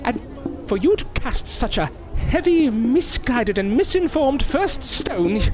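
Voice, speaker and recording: An actor playing a proud, easily wounded older stage magician in an audio drama, with wordy, formal, theatrical diction. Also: male voice, extremely high pitch, big pitch swings, slow pace, soft background music, exaggerated voice. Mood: indignant.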